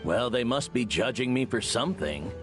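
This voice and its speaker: An actor playing a laid-back, self-deprecating young warrior in a video game, talking in a lazy, shrugging way. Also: male voice, mid-range voice, lively intonation, normal speed, music in the background, lightly acted.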